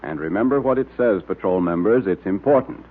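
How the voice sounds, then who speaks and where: A man announcing in an emphatic 1930s radio style, pitching to young listeners, on a tinny old recording. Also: lively intonation, brisk, breathy edge.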